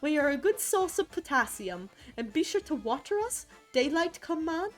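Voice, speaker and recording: A woman's voice: high voice, big pitch swings, moderate pace, soft background music, pitched above the performer's own voice. A woman putting on an accent as a chanting banana creature giving repeat-along instructions from a children's recording. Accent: put-on accent.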